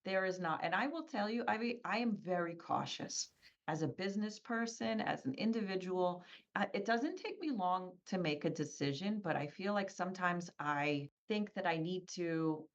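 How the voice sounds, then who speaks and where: Earnest woman, low voice, dull sound. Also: expressive, normal speed. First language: Polish. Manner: earnest, tag questions "right?", emphatic repetition. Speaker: guest, scaffolding business owner. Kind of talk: conversational interview.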